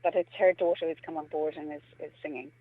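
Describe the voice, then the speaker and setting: A woman's voice: low-pitched, steady tone, fast, phone-quality sound. A woman from Limerick with an Irish accent, chatting playfully and ramblingly as an interview guest. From Limerick, Ireland. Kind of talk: casual conversational interview.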